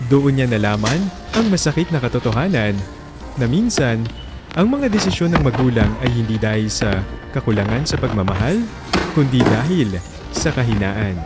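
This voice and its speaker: A man's voice: medium pitch, big pitch swings, normal speed. A man with a Filipino accent narrating a scripted love story in a dramatic storytelling style. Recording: music bed under the voice. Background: background music.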